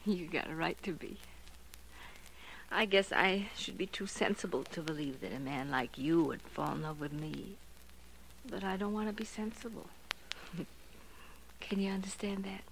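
An actress playing a lonely, devoted, lovestruck woman in a 1940s radio drama, breathless and halting. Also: fairly low pitch, vocal fry. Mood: adoring, wistful. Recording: noisy vintage radio drama recording.

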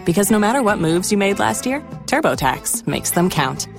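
A woman's voice: medium pitch, very animated, moderate pace, vocal fry. An American woman doing a scripted commercial voice-over. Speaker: advertisement voice-over. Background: loud music.

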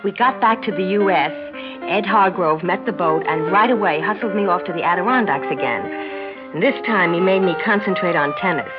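A woman's voice: fairly low pitch, a little fast, natural voice. An actress playing a cocky but naive young American woman athlete in a 1960s radio drama, speaking in a blunt, informal way. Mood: matter-of-fact narration. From United States. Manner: blunt, informal, matter-of-fact. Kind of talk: 1960s radio acting, first-person narration and dialogue. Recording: muffled 1960s radio recording with music.

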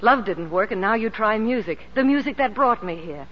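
An emotive, strong-willed leading lady of vintage radio drama, played by an actress. Her medium-pitched voice is theatrical. The recording is muffled with faint noise. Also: expressive, moderate pace, natural voice. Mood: distressed, defiant. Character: strong-willed ailing female concert pianist, urgent and defiant. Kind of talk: 1940s radio drama acting.

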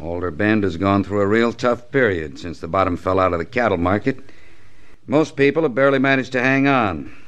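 An actor playing a plainspoken, principled small-town lawyer in a 1960s radio western. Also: male voice, on the low side, a little fast, a bit bright, close to an everyday voice. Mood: earnest, indignant.

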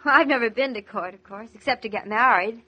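An actress playing a young newlywed wife in 1940s radio drama, coy, hesitant and innocently stubborn. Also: female voice, on the high side, quick pace, sharp tone, slightly rough. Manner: hesitant, artless, wheedling.

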